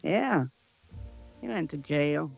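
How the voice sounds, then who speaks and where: A male actor playing a suspicious, curt American rooming-house manager in a 1950s radio drama, creaky, terse and folksy. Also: on the high side, expressive, slow, full character voice. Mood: curt, wary. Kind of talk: 1950s radio character acting. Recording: vintage radio recording with music.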